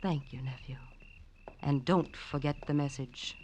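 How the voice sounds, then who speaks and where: A woman's voice: low voice, fairly even intonation, unhurried, darkish tone, faint music behind. An actress playing a cool, menacing woman with a gun, curt and mockingly polite, in old radio drama style. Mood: cold, commanding. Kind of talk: 1940s-50s radio detective acting.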